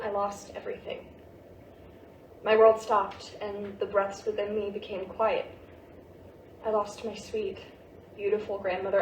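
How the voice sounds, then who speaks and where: A woman acting a grieving seventeen-year-old daughter in a staged play reading, earnest and gently prodding. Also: medium pitch, lightly acted. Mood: wistful grief. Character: Ivy, grieving seventeen-year-old half-Japanese American girl, practical daughter. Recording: roomy, distant mic, faint noise.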